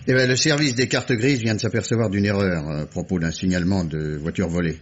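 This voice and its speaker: A man's voice: low-pitched, fairly even intonation, brisk, slight background noise, natural voice. An actor playing an eager, deferential police officer reporting to his superior in a French radio mystery. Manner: apologetic, eager. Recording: muffled vintage radio recording.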